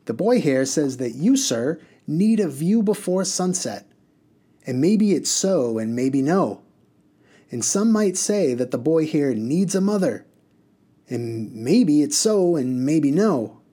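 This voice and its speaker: A man's voice: fairly high pitch, sing-song, slow pace, warm tone, pitched above the performer's own voice, exaggerated voice. A man voicing a reckless, gleeful male mail coach driver who bellows and rambles in a singsong way.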